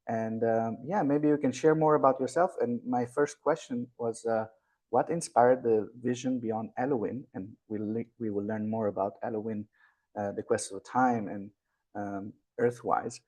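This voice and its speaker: A man's voice: mid-range voice, fairly even intonation, normal speed, darkish tone. A man hosting an interview, introducing the guest with many hesitant fillers. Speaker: host. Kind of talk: interview host.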